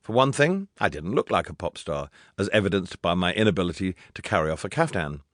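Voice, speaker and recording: A man reads a memoir as an audiobook narrator, in a fairly low voice. His storytelling is wry and drily funny, clearly working from a script. The recording is close and dry, with very little room sound. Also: big pitch swings, a little fast, no echo. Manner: wry, dryly humorous storytelling.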